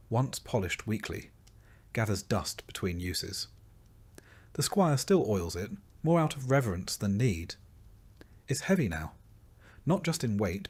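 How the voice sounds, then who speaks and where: A man reading scripted second-person historical narration in a measured, solemn, reflective storytelling manner. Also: fairly low pitch, slightly rough.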